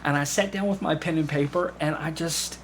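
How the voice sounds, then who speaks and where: A man telling personal anecdotes in casual conversation, haltingly, repeating phrases and acting out little asides. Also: fairly high pitch. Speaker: podcast guest, amateur actor. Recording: clearly audible background room noise.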